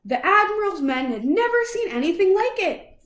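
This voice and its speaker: A woman reading a children's picture book aloud in an expressive, dramatic way for young listeners. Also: very high-pitched, normal speed, reverberant, slight background noise. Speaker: children's storybook reader.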